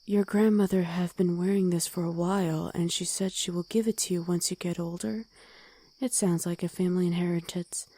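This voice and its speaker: A woman voicing a gruff, protective wolf girl who talks bluntly in an ASMR roleplay drama. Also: on the low side, fairly even intonation, brisk, mellow, lightly acted. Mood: gruffly caring. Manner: blunt, commanding, gruffly teasing.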